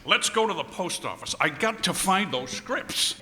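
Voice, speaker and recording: A man's voice: high-pitched, lively intonation, normal speed, over a noisy crowd. A man playing a flustered, long-suffering comedian husband in an old-time radio comedy, stammering and pleading. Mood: pleading, impatient. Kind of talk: 1940s radio comedy acting, live stage tribute. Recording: echoey hall with faint noise.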